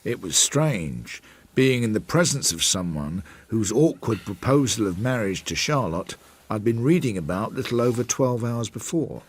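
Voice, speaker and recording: An actor playing a reflective investigator who narrates his case in a radio drama, measured, wry and confiding. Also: fairly low pitch, lively intonation, slightly rough. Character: reflective male investigator narrating his inquiry, working man.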